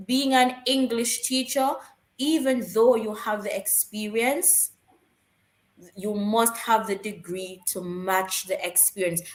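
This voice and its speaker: A woman's voice: mid-range voice, roomy sound. A woman co-hosting a live stream, answering viewers' questions about teaching jobs and repeating her key points to stress them.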